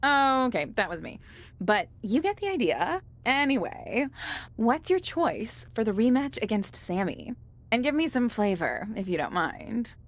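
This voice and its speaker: A woman's voice: a little high, very animated, unhurried. A woman playing a chatty, upbeat documentary interviewer in a video game, breezy and conversational. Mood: playful. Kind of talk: video game cutscene acting.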